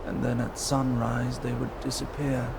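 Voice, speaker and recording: A man's voice: mid-range voice, little pitch movement, unhurried, creaky, heavy background noise. A man narrating a fantasy visual novel in a dramatic, scripted storytelling style.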